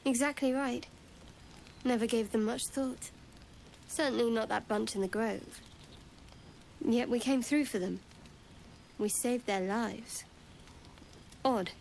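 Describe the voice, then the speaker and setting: A woman's voice: a little high, slow pace, gravelly, long pauses, a little mellow, low-quality recording. An actress playing a guarded, wry young woman adventurer in a fantasy video game, with faint noise behind. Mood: wry, reflective.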